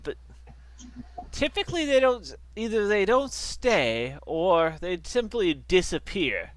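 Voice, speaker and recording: A man's voice: high voice, a bit bright, full character voice. A man playing a cryptic village mayor. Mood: guarded. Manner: hesitant, drawn-out hints. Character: male village mayor, guarded and ominous. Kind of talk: tabletop game voice acting.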